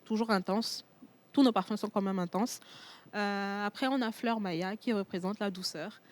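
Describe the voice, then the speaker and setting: A woman's voice: medium pitch, steady tone, moderate pace, slight background noise. Woman, from Togo, first language Tchokosi, TV studio guest, hesitant, fillers and restarts. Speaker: perfume entrepreneur guest. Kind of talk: studio interview.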